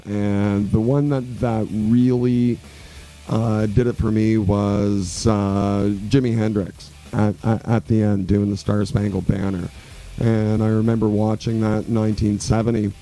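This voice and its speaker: Male guest, 40s, from Quebec; low, mellow voice, relaxed rambling delivery over faint music. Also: measured pace, gravelly, rough recording. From Quebec, Canada. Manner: relaxed, rambling storyteller with many fillers. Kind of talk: radio interview.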